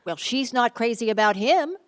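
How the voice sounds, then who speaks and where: A woman plays a fond, meddling mother in a small-town radio drama. Her voice sits a little high and moves up and down freely as she briskly coaxes. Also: expressive, lightly acted. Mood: coaxing. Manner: brisk, coaxing. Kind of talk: live radio play acting.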